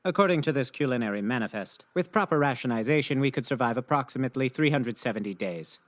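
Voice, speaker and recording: An actor playing a pompous, awkwardly formal survivalist nerd in a radio play, with stilted, over-precise diction. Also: male voice, mid-range voice, animated, quick pace, fairly bright tone, close to an everyday voice. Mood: matter-of-fact, pedantic.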